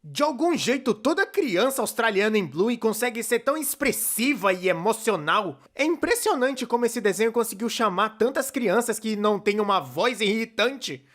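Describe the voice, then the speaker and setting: A man's voice: high-pitched, expressive, moderate pace, hardly any pauses, a bit bright. A man with a Brazilian accent playing a chatty, playfully sarcastic cartoonist narrator in a scripted fan dub. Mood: chatty, enthusiastic. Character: chatty, excitable young male cartoonist narrator.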